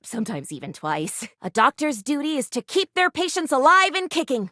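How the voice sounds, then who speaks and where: An earnest little first aid kit doctor from a video game, with a high female voice that swoops up and down. She is dramatic and anxious, rambles in fussy formal phrases, and sounds close and dry. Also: very animated, moderate pace, sharp tone, dry recording, heavily acted. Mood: earnest, dutiful. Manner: theatrical, rambling, fussily formal. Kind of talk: animated video game character voice acting.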